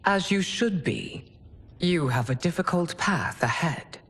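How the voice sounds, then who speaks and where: An actress playing a regal, stern widowed empress in a video game cutscene, formal and imperious. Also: female voice, on the low side, lively intonation, a little slow, slightly rough. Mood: stately.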